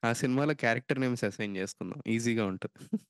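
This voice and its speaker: A man's voice: medium pitch, fast, no echo, studio quality. A man hosting a casual, conversational interview podcast, mixing in English. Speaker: podcast host.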